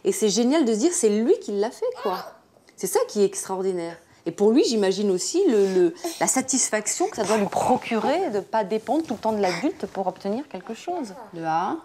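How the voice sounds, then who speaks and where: A woman's voice: mid-range voice, very animated, moderate pace, dark tone, a little hiss or hum. A middle-aged woman, a homeschooling mother, speaking in a casual interview at home, loose and hesitant with many restarts. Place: home interior, living room.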